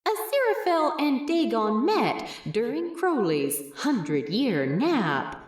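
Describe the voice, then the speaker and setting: A woman narrating a scripted story expressively, recorded with echo and slightly away from the microphone. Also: fairly high pitch. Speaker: fan fiction narrator. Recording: echoey, slightly distant microphone.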